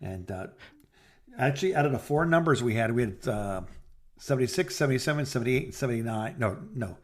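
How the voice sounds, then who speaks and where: A middle-aged man chatting casually and telling personal anecdotes in a rambling, halting way, as a podcast co-host. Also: medium pitch, lively intonation.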